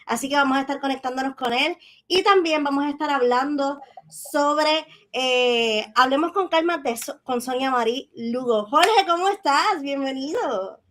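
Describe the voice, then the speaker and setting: A woman's voice: sits high, normal speed, a bit bright. A woman hosting a conversational interview in a welcoming manner. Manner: welcoming, complimentary to guest. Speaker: interview show host.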